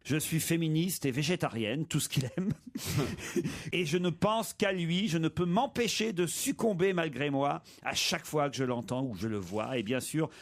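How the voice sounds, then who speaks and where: A man's voice: on the high side, moderate pace. A middle-aged man hosting a comedy radio show, reading listener mail aloud in a playful way. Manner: playful, reads jokes aloud with mock voices.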